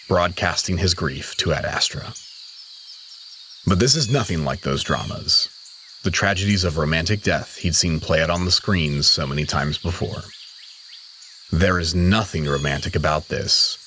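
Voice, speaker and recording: A man reading scripted dramatic fiction as narrator, in a solemn and measured manner. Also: sits low, sharp tone, a little hiss or hum.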